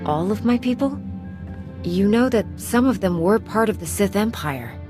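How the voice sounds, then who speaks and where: An actress playing an earnest, resolute heroic commander in a video game. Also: female voice, medium pitch, expressive, moderate pace, warm tone, lightly acted. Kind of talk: dramatic video game acting. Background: music.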